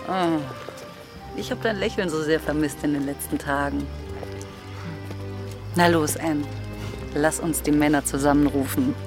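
An actress playing a devoted, determined pirate woman in a German radio drama, speaking softly and deliberately. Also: low-pitched. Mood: fond resolve. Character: devoted female pirate, loyal, loving, determined. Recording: music behind the voice.

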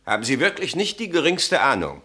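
An actor playing a brusque army captain in a German radio play, questioning curtly and with authority. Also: male voice, mid-range voice, huge pitch swings, brisk, pushed and bright. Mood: probing, stern. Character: brusque, commanding army captain interrogating witnesses.

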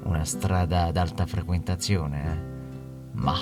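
Low-pitched male actor as ordinary protester, colloquial, direct address, stage monologue, plucked guitar behind. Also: fairly even intonation, unhurried, distant mic. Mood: indignant. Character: ordinary male protester telling his neighbourhood story, wry, indignant. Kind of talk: theatrical monologue, conversational storytelling. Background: plucked guitar music.

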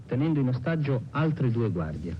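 A man's voice: mid-range voice, very animated, normal speed, background noise. A man reading the news as a formal broadcast announcer. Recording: muffled old broadcast, music behind.

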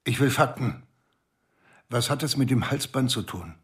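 An actor playing an impatient police commissioner, questioning curtly and demandingly in a radio drama. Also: male voice, medium pitch, expressive, normal speed, fairly bright tone, natural voice. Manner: curt, demanding.

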